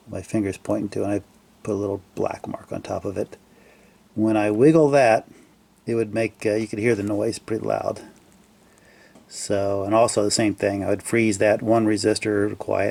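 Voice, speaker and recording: Low, creaky-voiced man thinking aloud. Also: a little mellow. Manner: halting, thinking aloud, trailing off mid-phrase. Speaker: hobbyist repairer presenting his own fix. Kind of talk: casual unscripted repair walkthrough.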